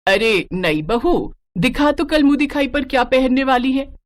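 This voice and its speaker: A woman's voice: sits high, measured pace, background noise, voice pushed up. A woman voicing a strict, nagging middle-aged mother-in-law in a story, with a bossy, scolding manner. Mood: bossy, disapproving.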